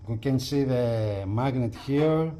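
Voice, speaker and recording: A man giving a casual, unscripted product walkthrough as a reviewer, with halting delivery and repeated phrases. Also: mid-range voice, noisy background.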